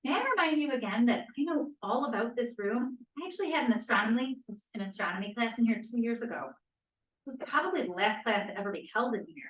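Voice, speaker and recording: A woman's voice: fairly high pitch, lively intonation, fast talker, close to an everyday voice. Woman doing knowing 1940s college girl, confident, bit far from mic, echoey. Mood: assured. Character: knowing, confident young female astronomy student of 1945. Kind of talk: play table read. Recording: echoey room, distant mic.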